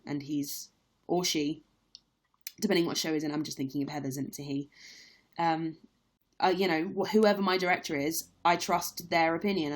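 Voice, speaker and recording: A young woman, a stage actress, talks casually to her viewers in a live-stream Q&A. Her voice sits a little low, and she speaks candidly with plenty of ums and likes. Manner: chatty, candid, lots of fillers.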